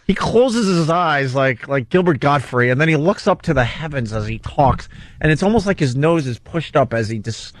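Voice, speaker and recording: A man's voice: fairly high pitch, expressive, moderate pace, no room sound. An American man hosting a talk radio show with casual comic banter, close on a studio microphone.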